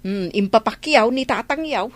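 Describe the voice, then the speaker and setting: A woman's voice: a little high, expressive, normal speed, dry recording, natural voice. An actress with an Ilocano Filipino accent playing a practical village mother of grown children in a radio drama. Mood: matter-of-fact.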